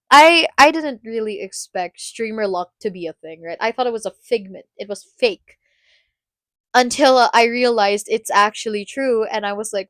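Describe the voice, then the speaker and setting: A woman's voice: on the high side, a bit bright, no room sound, studio quality. Rambling, laugh-prone woman streamer, Filipino. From Philippines. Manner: rambling, self-interrupting, laughs often. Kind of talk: casual live-stream chat.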